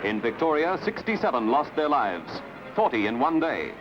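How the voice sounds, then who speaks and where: Male narrator, for documentary newsreel: animated intonation, dramatic and measured, dull archival soundtrack under prominent music. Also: mid-range voice.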